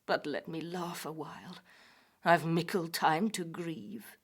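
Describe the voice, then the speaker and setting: Frail, breathy old crone. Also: male voice, fairly high pitch, takes it slowly, a bit bright, pitched above the performer's own voice, heavily acted. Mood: muttering, amazed. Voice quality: breathy, whispery, thin aged voice. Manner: quavering, urgent, archaic speech. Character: frail, fearful, scolding old nursemaid crone. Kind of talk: dramatic poetry recital.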